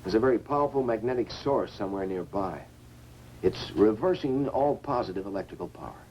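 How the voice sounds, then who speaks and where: An actor playing a thoughtful, analytical scientist, reasoning in a measured way in 1950s science fiction film style. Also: male voice, on the low side, lively intonation, a little mellow, natural voice. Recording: noisy old 1950s film soundtrack.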